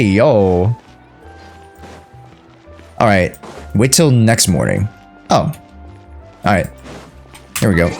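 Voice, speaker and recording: Game streamer, casual commentary, slangy, reactive, lively intonation, faint game music. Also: low-pitched.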